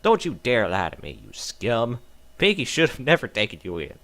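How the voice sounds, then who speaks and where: A man's voice: medium pitch, wildly swooping pitch, normal speed, a bit bright. A man voicing an enraged female farm pony who screams accusations. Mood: accusing. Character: furious female farm pony, turned violent.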